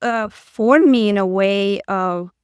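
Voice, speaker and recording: Smooth-voiced woman. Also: medium pitch, slow pace, no echo, clean studio sound. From Romania. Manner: hesitant, many fillers and restarts. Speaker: guest. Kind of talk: casual interview chat.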